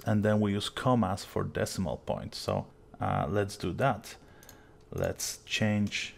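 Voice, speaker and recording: A young man from Poland with a Polish accent, walking through a software tutorial step by step in a relaxed, explanatory way. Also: lowish voice, gravelly. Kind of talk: screen-recorded software tutorial.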